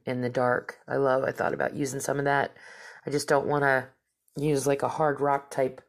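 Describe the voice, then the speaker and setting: Low, gravelly, stuffy-nosed woman host. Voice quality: stuffy, nasal. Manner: rambling, with fillers and asides. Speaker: podcast host. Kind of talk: casual storytelling monologue.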